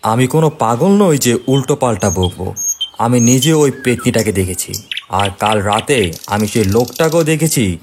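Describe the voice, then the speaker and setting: A man voicing an earnest, determined young city man with a serious, insistent manner in an animated horror story. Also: mid-range voice, moderate pace, slight background noise, voice pushed up, close to an everyday voice.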